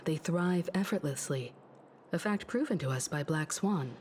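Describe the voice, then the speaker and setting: A woman playing a calm, analytical investigator in a video game, speaking thoughtfully and deliberately. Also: sits low, a little hiss or hum.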